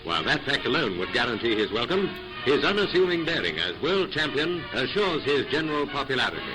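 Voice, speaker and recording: A man's voice: medium pitch, very animated, a little fast, rough recording. A man reading scripted vintage newsreel narration in a formal, polished announcing manner. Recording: old newsreel soundtrack with loud music.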